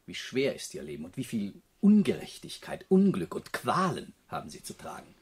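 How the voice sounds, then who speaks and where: A man playing an earnest, idealistic young scholar in a German radio drama, husky and lecturing, easily flustered. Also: mid-range voice, wildly swooping pitch, normal speed. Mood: earnest, indignant.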